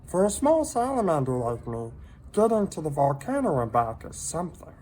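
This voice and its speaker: A small, loyal salamander from a children's storybook, acted out by a reader. He is gloomy and world-weary, speaking high above normal talk with a dramatic, know-it-all air and swooping pitch. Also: big pitch swings, moderate pace, dark tone, a little hiss or hum, close to an everyday voice. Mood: wry, nervous.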